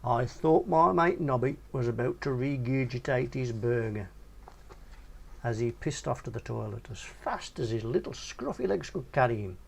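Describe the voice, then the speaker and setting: A man's voice: normal speed, gravelly. A man reading his own crude first-person short story in a casual, slangy way. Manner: crude, casual narration with animated swings in pitch.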